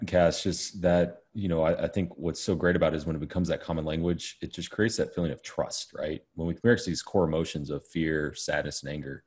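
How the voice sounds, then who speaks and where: A man with a very deep, low voice talking casually as a podcast guest. He hesitates often and keeps saying "you know" while he searches for words. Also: a little mellow. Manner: hesitant, many "you know" fillers and restarts. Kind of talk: casual conversation.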